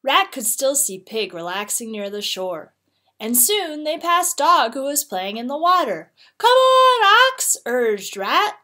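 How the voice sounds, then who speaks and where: A woman narrating a children's storybook expressively, with deliberate, dramatic phrasing. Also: a little high, sing-song, sharp tone. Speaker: read-aloud teacher.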